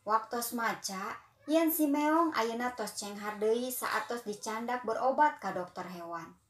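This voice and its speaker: Gentle slow Sundanese woman storyteller. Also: on the high side, reverberant. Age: young adult. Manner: gentle, unhurried reading to children. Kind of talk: children's storytelling narration.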